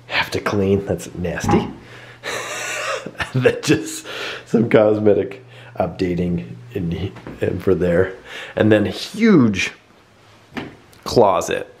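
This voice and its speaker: A man in his thirties giving a quick, upbeat house tour and chatting at home. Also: lowish voice, darkish tone. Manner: quick, upbeat, rambling with restarts. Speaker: vlog co-host. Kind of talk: casual house tour and chat. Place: living room at home, indoors.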